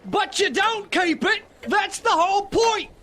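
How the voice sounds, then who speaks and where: A man playing a cheerful, warm-hearted young nephew with a British accent, earnest and pleading, in an amateur audio drama. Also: very high voice, expressive, a little slow, full character voice. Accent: put-on British.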